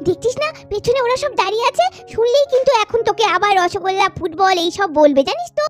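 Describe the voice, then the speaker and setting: A woman's voice: very high voice, lively intonation, quick pace, faint music behind. A woman voicing a little schoolgirl doll in a children's cartoon, chatty and bickering in a childish, colloquial way. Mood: bickering, sassy. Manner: childish, colloquial scolding and teasing.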